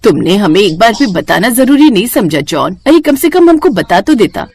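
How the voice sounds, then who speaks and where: A woman's voice: medium pitch, very animated, moderate pace, a little mellow, a little hiss or hum. A woman voicing a homely, gossipy middle-aged mother in a children's story. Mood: hurt. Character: chatty middle-aged mother, fond of her neighbours.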